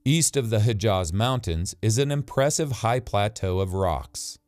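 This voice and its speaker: A man's voice: fairly low pitch, expressive, measured pace, a little mellow, no room sound. The man you would hear guiding a travel documentary about an ancient lost river, explaining the terrain in a dry, close recording. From grew up in Saudi Arabia. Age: middle-aged. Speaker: expedition host and narrator. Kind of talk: documentary vlog narration, explanatory.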